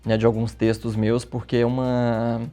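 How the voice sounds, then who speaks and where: A man's voice: mid-range voice, monotone, normal speed. A middle-aged man from Minas Gerais with a mineiro accent, a guest telling stories in a casual interview and often tagging his sentences with questions. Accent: Minas Gerais Portuguese. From Minas Gerais, Brazil. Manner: warm, storytelling, frequent tag questions.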